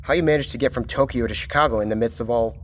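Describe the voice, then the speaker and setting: Actor as a stern government chief in a reverberant space. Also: male voice, medium pitch, racing, lightly acted. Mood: probing. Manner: commanding, clipped, condescending. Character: stern, authoritarian male government chief interrogating subordinates. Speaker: voice actor in an audio drama. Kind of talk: audio drama acting. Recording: reverberant hall with background noise.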